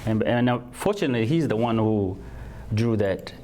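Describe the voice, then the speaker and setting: Low-voiced Tanzanian man, halting answers. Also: animated, mellow, slight background noise. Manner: halting, repeats words while searching for phrasing. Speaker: guest. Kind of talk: interview answers.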